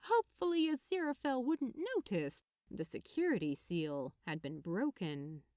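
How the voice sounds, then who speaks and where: A woman reading a story aloud as narrator, in an expressive scripted style. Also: lowish voice, normal speed, dry recording, studio quality. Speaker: narrator, fan fiction reader.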